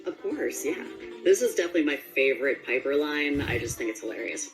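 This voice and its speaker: A woman's voice: sits low, animated, fairly quick, music in the background. A woman speaking as an interviewed voice actor, chatting in an informal, rambling, enthusiastic way. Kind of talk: casual interview chat.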